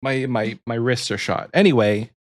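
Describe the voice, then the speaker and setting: A man in his early thirties co-hosting a podcast, easygoing and quick to laugh. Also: medium pitch, no room sound, clean studio sound. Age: 30s. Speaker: podcast co-host. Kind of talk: casual chat.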